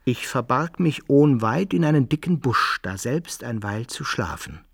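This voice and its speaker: Wry old-tale adventurer, husky, lively intonation, expressive radio drama narration. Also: male voice, mid-range voice, a little slow, no echo, clean studio sound, exaggerated voice. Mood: wry storytelling. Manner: expressive, storyteller's phrasing. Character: wry male adventurer recounting his own misfortunes.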